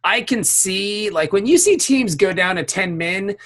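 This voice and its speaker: An American man chatting casually about sports as a podcast co-host, animated and slangy with plenty of swearing. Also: sits high, unhurried.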